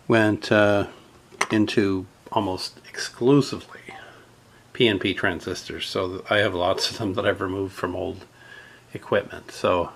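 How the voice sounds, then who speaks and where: Male repair-video host, unhurried and halting, lowish creaky voice, hands-on tutorial delivery, faint background noise. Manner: halting, thinking aloud while working.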